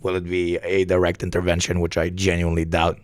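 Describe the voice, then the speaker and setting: A sick, hoarse man streaming live news commentary, talking bluntly and with plenty of swearing. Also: sits low, completely flat delivery, brisk, no echo. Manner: profane, blunt, rambling. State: hoarse from illness.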